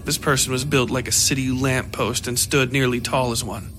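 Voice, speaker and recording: A man's voice: mid-range voice, steady tone, normal speed, a bit bright, soft background music. A man reading scripted horror fiction as the narrator of an audio story.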